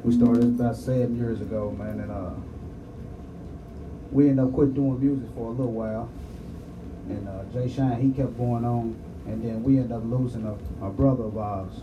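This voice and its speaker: A man musician talking quietly and laid-back in an interview, recorded from a distance with noise behind him. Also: mid-range voice, steady tone, moderate pace, narrow, tinny audio. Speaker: singer and rapper. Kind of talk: casual interview answers. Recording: distant mic, clear background noise.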